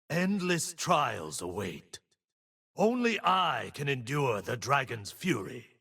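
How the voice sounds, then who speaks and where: A stern martial monk from a video game, husky and forceful. He speaks with slow, solemn discipline, and his pitch moves widely between grave wisdom and battle grit. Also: full character voice. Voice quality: gritty. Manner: solemn, measured, wise. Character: stern, disciplined blind martial monk bearing a dragon spirit. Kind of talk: video game character voice lines.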